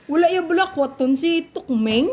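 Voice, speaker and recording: A woman telling an improvised story to children in a playful, expressive, informal way. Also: sits high, moderate pace, a little hiss or hum.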